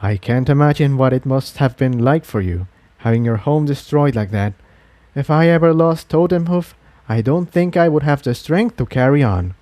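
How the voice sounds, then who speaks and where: A man's voice: mid-range voice, lively intonation, moderate pace, dark tone, natural voice. A man voicing a devout, courteous pegasus townsman who explains things earnestly and formally in a fantasy audiobook. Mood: soft, sympathetic. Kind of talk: acted dialogue in fan fiction audiobook.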